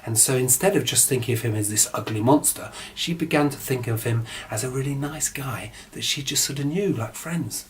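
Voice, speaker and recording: A man's voice: mid-range voice, brisk, few pauses, a little hiss or hum, low-quality recording. A man tells a children's story in an informal, improvised way. He chats along casually and drifts into offhand asides as he goes. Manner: chatty, digressive, mock-casual asides.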